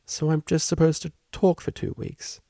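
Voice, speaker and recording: A man's voice: a little high, level tone, normal speed, vocal fry, warm tone, no echo, studio quality, voice pushed up. A man voicing a young female pony cellist, polite, anxious and earnest, with hesitant, formal wording. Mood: unsure, hopeful. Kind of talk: fan fiction audiobook character reading.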